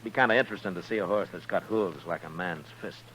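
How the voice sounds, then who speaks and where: A man acting a steady, dryly wry U.S. marshal in a 1950s radio western, speaking dialogue and first-person narration. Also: deep voice, quick pace, slight background noise, rough recording. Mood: probing, measured. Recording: old radio broadcast with music.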